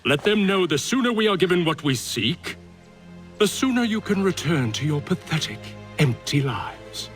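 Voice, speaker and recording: An actor playing a menacing, arrogant colonial villain who talks down to his victim in a slow, deliberate, condescending way in a video game. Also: high-pitched, very animated, soft background music. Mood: contemptuous, lofty. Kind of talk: dramatic video game cutscene acting.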